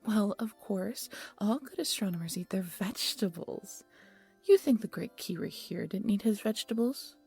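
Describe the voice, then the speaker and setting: An old grandmother telling tales in an audio drama, acted by a woman. The voice is heavily breathy and whispery, set at a medium pitch. Delivery is hushed and deliberate, over faint music. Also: animated, exaggerated voice. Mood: doting, teasing. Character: gentle old grandmother storyteller, turning eerie and insistent. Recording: music under the voice.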